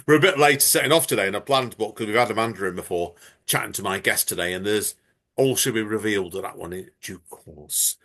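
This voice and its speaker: A man's voice: lowish voice, brisk, fairly bright tone. Chatty man hosting. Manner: chatty, rambling, enthusiastic. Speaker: podcast host. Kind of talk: casual chat.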